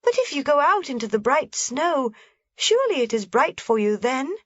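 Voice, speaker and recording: Woman putting on a high, eager little girl. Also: very animated, moderate pace, dry recording. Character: lively, anxious little mountain girl. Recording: slightly dull, dry lo-fi recording.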